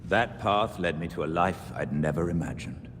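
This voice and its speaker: A man playing a weary, dignified older butler and war veteran in a video game, measured and reflective. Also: low-pitched, fairly bright tone. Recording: reverberant room with faint noise.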